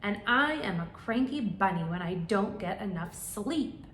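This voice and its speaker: A woman's voice: medium pitch, animated, measured pace, a bit bright, reverberant, slight background noise. A woman voicing a cranky, grumbling rabbit in a children's storybook. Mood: complaining. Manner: grumbling, complaining. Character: grumbling, cranky rabbit who dreads spring.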